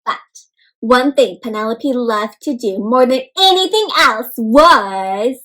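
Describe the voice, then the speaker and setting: A woman storyteller guides children through a picture book. Her voice is high and highly animated in pitch. She keeps checking in with questions and leaves gaps for young listeners to answer. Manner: asks listeners questions, pauses, addresses children as friends. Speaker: read-aloud storyteller and teacher. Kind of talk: interactive children's read-aloud.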